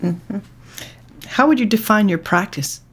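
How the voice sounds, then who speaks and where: A woman hosting an art podcast, reading a formal introduction and asking interview questions in a measured way from her apartment. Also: medium pitch, animated, a bit bright, husky. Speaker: podcast host. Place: Manhattan apartment.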